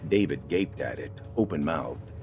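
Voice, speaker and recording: A man reading a scripted dramatic story in a measured, deliberate storytelling manner. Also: rumbling low, animated, darkish tone, slight background noise. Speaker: audiobook narrator.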